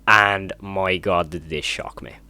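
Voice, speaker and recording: A man's voice: low voice, steady tone, slow pace, pushed and bright, smooth, no echo. A man hosting a horror video commentary, retelling and reacting in an informal, emphatic way.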